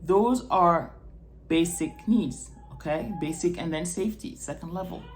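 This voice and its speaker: A woman's voice: fairly low pitch, measured pace. A woman from Morocco speaking off the cuff to camera as a video blogger, explaining things and checking in with the listener. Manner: explanatory, checks in with "okay?" and "right?". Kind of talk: unrehearsed personal talk to camera.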